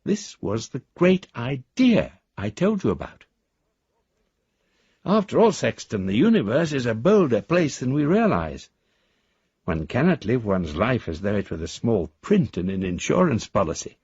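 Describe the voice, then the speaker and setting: A man's voice: mid-range voice, sing-song, measured pace, darkish tone, husky, no echo, muffled recording, heavily acted. An actor playing an eccentric, grandiose amateur philosopher, reading his letter in pompous, florid phrases for a radio drama. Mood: exalted, self-important.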